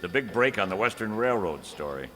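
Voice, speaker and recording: A man playing a confident, urgent young newspaper reporter in old-time radio style, brisk and insistent. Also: on the low side, expressive, fairly bright tone, big-room sound, slight background noise. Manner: brisk, insistent, clipped commands.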